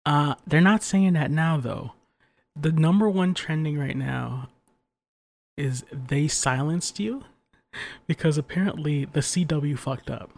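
A young man co-hosting a casual late-night podcast, keeping his voice soft and hushed so he won't wake a sleeping child. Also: fairly high pitch, takes it slowly, vocal fry. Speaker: podcast co-host.

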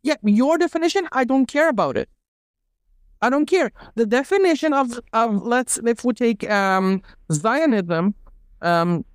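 Emphatic woman with a clear voice. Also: on the high side, no room sound, studio quality. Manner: emphatic and indignant, with frequent restarts; speaks English as a second language. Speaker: guest. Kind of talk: heated podcast discussion.

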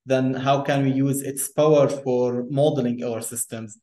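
Soft-spoken engineer, echoey room. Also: male voice, mid-range voice, steady tone, measured pace. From Lebanon. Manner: repeats words, many restarts. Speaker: guest engineer. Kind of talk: conversational interview.

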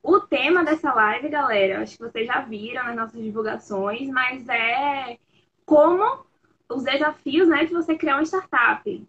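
A young woman hosts a live stream in an upbeat way, speaking directly to her audience. Her voice is high and sharp. It comes through dull, lo-fi video-call audio with a faint hiss underneath. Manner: upbeat, addresses the audience as "galera". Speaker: product lead hosting a live stream. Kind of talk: casual live-stream hosting.

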